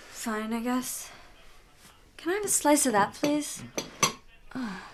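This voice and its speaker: A woman's voice: sits high, lively intonation, slow pace, a little hiss or hum. A woman playing a guarded, prickly American newcomer in a naturalistic radio drama. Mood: wary. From United States, Seattle.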